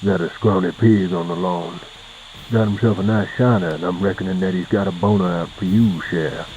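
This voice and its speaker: A man's voice: low voice, steady tone, a little fast, dull audio, noisy background, lightly acted. A man playing a sly old rural character who talks in a folksy, mocking, drawling manner.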